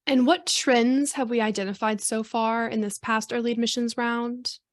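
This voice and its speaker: A woman's voice: on the high side, steady tone, moderate pace. A woman hosting a podcast, reading a scripted intro and then chatting with her guests. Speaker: podcast host. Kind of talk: podcast interview.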